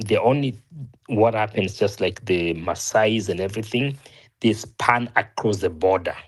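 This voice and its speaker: Kenyan male panel guest, husky, earnest, stop-start delivery. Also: lowish voice, unhurried. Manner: earnest, many restarts and self-corrections, rising emphasis when arguing. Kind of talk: opinionated discussion.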